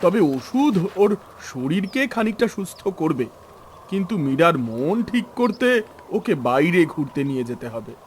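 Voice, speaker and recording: Man voicing a measured cartoon doctor. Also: a little high, sing-song, slight background noise. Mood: reassuring. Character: calm, advising family doctor. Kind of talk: cartoon story dubbing.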